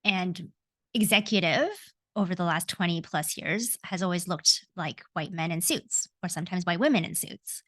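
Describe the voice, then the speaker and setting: A woman's voice: on the low side, moderate pace, fairly bright tone, no room sound. A woman in her forties with a Canadian accent, a coach speaking as a podcast guest in an earnest, reflective way with frequent ums and self-corrections. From Hong Kong, raised in Canada. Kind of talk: podcast interview.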